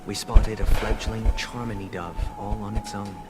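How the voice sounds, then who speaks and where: An actor playing a composed, eloquent young man in a game cutscene, speaking in a measured, formal, softly persuasive way. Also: on the low side, steady tone, lightly acted. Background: loud game soundtrack music.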